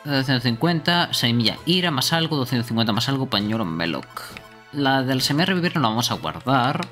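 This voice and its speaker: A man's voice: medium pitch, lively intonation, a little fast, bright, forceful tone. Man chatting casually over game music. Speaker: game streamer. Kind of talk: casual live gaming chat.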